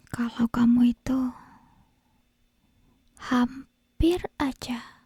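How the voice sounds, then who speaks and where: A very slow, breathy whisper from a woman playing a possessive, lovesick yandere kidnapper girl in an ASMR roleplay. Her voice is soft and mellow, very close and menacing, with lingering pauses between phrases. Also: fairly high pitch, no echo, exaggerated voice. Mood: bitter, scornful. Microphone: close binaural microphone.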